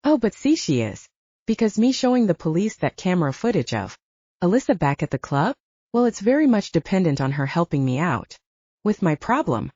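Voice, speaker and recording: A woman's voice: lowish voice, very animated, brisk, darkish tone, breathy edge, dry recording, lo-fi sound. A woman voicing a smug, scheming male werewolf club owner who taunts in audiobook dialogue. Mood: smug, taunting.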